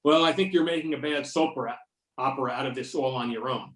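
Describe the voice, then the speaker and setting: A man's voice: mid-range voice, fast talker, lightly acted. Man playing a sardonic FBI agent, roomy echo. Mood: needling, stern. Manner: sarcastic, pointed questioning. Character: sardonic, needling male FBI agent, confident and tough. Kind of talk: amateur readers-theater script reading. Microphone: videoconference or conference call. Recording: roomy echo, distant microphone.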